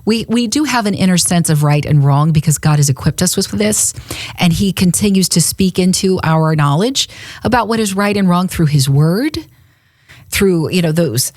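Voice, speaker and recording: A woman's voice: lowish voice, moderate pace, a little mellow, dry recording, clean studio sound. A woman co-hosting a faith podcast, chatting casually and laughing often as she retells a Bible story. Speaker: radio and podcast co-host. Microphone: studio microphone.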